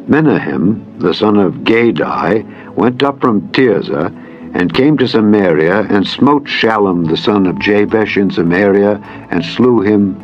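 A low-voiced man delivers a scripted, dramatized scripture narration. His phrasing is solemn, measured and deliberate. The audio is somewhat dull, with music playing behind him. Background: background music.

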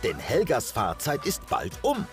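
A man's voice: medium pitch, wildly swooping pitch, a little slow. A man narrating a reality documentary in a playful, sing-song way. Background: music.

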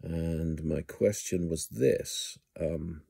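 A middle-aged man giving casual video commentary from home into a phone held close, halting and full of ums and restarts. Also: sits low, gravelly, mellow, breathy edge, dry recording. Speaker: news blogger. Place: indoors at home, small room. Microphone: phone camera held close.

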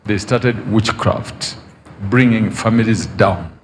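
A man leads a prophecy service into a handheld mic, his voice leaping up in pitch whenever he presses a point. His words trail off in a long reverb from the big space around him. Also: takes it slowly, a little hiss or hum. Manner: prophesying with sudden emphatic rises. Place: large outdoor church tent.

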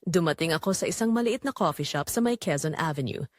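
A woman's voice: low voice, animated, quick pace, no echo, clean studio sound. A woman narrating a first-person romantic drama in an intimate, dramatic way. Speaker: audio story narrator.

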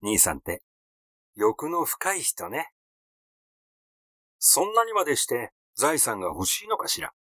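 A man's voice: mid-range voice, wildly swooping pitch, measured pace, long pauses, pushed and bright, no room sound, studio quality, heavily acted. A man voicing a cool, accusing young sister in a story reading, with pointed, feminine phrasing.

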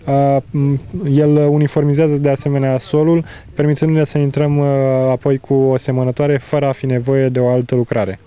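A man's voice: medium pitch, little pitch movement, dark tone, smooth. A man, a product specialist explaining farm equipment in a hesitant, technical way outdoors. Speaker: agricultural machinery product specialist. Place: outdoors in an open field. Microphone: handheld interview microphone.

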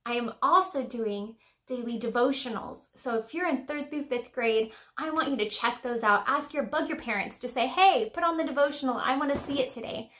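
High-voiced young woman teaching kids. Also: normal speed, few pauses, fairly bright tone. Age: young adult. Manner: simple, chatty, questioning address to children. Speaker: children's church teacher and host. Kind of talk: children's Sunday school lesson to camera. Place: small decorated room indoors.

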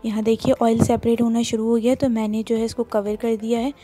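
A woman's voice: a little high, flat delivery, brisk, warm tone, soft background music. A woman with a Pakistani Urdu accent, walking through a home recipe step by step as she cooks in her kitchen. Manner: plain, instructive, explains each step while working. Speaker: cooking channel host.

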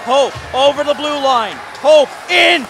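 A man calling a hockey shootout in a very high, strained voice, yelling every goal as he loses his voice. A crowd in the arena is heard clearly behind him, over a steady hum of noise. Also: unhurried. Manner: builds to shouted goal calls. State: losing his voice. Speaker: play-by-play commentator. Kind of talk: excited sports commentary.

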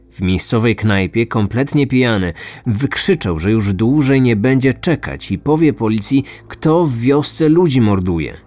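A man hosting a true-crime podcast, narrating from a script in measured storytelling style. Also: fairly low pitch, a little mellow. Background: background music.

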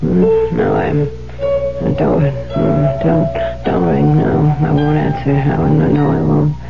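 A muffled vintage radio recording carries a haunted widow, a woman anxious and evasive. Her halting delivery is slow, and her pitch swings widely. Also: big pitch swings, vocal fry, loud music behind the voice, full character voice. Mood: feverish, dreaming. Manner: halting, breaking off, murmuring. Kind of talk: 1970s radio drama acting. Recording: dull lo-fi 1970s radio broadcast.

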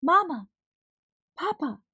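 A woman's voice: very high voice, big pitch swings, slow, sharp tone, dull audio, voice pushed up, full character voice. A woman voicing a brave young female storybook reindeer, sweet and earnest, with a light girlish voice. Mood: excited.

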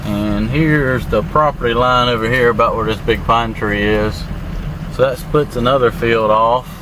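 A man giving a relaxed, plain-spoken tour of his land, walking and then driving. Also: mid-range voice, moderate pace. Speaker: landowner showing his farm. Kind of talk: casual walk-and-drive tour narration. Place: outdoors in fields, then inside a moving pickup truck. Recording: clear background noise of truck engine and outdoors.

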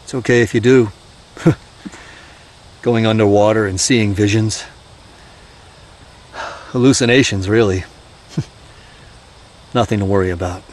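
An actor playing a weary, haunted middle-aged soldier and gentle father in an audio drama, halting and confiding. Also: fairly low pitch, lightly acted. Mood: troubled, self-dismissive. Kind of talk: naturalistic audio drama acting. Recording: steady outdoor night-insect noise.

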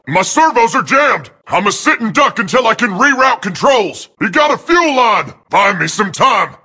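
An actor playing a gruff, battle-hardened robot cargo plane with a gravelly, raspy voice, in video game battle clips. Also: male voice, sits high, sharp tone, exaggerated voice. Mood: strained, desperate.

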